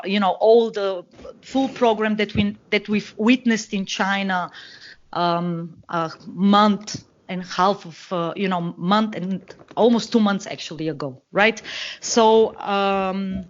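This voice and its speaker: A woman from Bulgaria with a Bulgarian accent, speaking as a guest analyst on a podcast and talking with many fillers and restarts. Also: mid-range voice. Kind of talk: podcast interview, explaining. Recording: dull lo-fi call audio.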